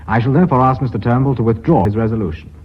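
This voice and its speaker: An actor playing a dry-humoured company director chairing a board in a radio drama, formal and wry. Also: male voice, medium pitch, racing, husky, natural voice. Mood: formal, firm. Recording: muffled lo-fi radio drama recording.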